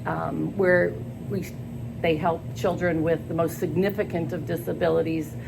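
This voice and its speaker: An older woman speaks in a low, gravelly voice. She talks earnestly and haltingly, with plenty of ums and pauses. The recording carries steady background noise. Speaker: school staff job candidate. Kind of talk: job interview answers. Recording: noisy background.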